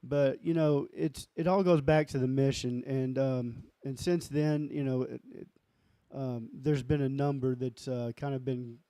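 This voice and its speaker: A man with a lower voice talking casually as an interview guest, halting and often repeating himself. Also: steady tone, mellow, clear, resonant tone, dry recording. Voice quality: lower register voice. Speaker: musician and label founder guest.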